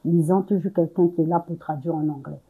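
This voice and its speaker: A woman's voice: sits low, fairly even intonation, fairly quick, breathy edge, phone-quality sound. A young woman from Congo answering interview questions, hesitant, searching for words with many fillers and restarts. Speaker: entrepreneur interview guest.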